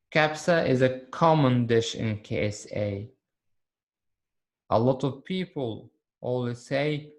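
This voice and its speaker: A man teaching an English lesson on video, speaking slowly and repeating key words for his students. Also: mid-range voice, darkish tone.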